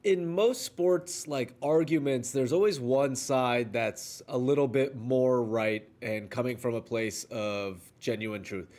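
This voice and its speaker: A man's voice: mid-range voice, slow pace, hardly any pauses, darkish tone. A man chatting casually about sports as the second voice on a podcast. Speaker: podcast co-host or producer.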